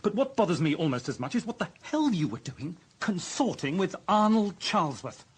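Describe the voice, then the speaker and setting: An actor playing an exasperated, authoritative deputy police chief with a British accent, giving stern, sarcastic reprimands in a radio drama. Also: male voice, high-pitched, very animated, normal speed, slightly rough, exaggerated voice. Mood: angry, scathing.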